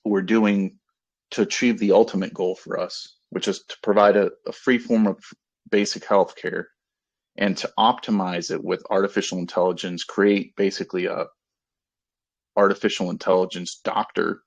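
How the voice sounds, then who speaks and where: A man in his thirties talking informally to investors on a conference call as a company executive, halting and rambling as he repeats and rephrases himself. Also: low-pitched, flat delivery. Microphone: conference call line. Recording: dull, lo-fi conference call audio.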